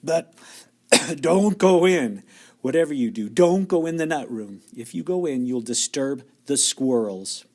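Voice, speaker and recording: A man voicing an eccentric, brisk factory owner who explains things insistently in a storybook reading. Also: medium pitch, animated, darkish tone. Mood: explaining.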